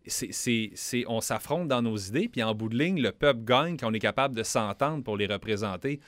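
A man's voice: medium pitch, expressive, normal speed, fairly bright tone, dry recording. A man with a Quebec French accent, a member of parliament giving a casual radio interview, earnest and often repeating words as he starts sentences. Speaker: federal member of parliament, guest.

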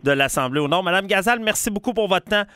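Brisk bright radio host. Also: male voice, sits high, expressive, smooth, no echo, studio quality. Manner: brisk, bright broadcaster delivery.

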